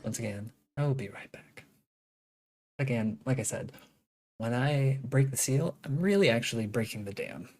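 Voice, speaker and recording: A woman's voice: very deep voice, expressive, normal speed, darkish tone. A woman giving casual live gameplay commentary as a streamer, rambling and muttering to herself. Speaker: game streamer.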